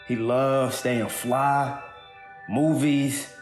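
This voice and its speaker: An actor playing a grieving, earnest younger brother in an audio drama monologue, speaking haltingly. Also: male voice, mid-range voice, fairly even intonation, a little mellow. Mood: fond, reflective. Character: grieving kid brother giving a heartfelt eulogy. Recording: roomy echo, music underneath.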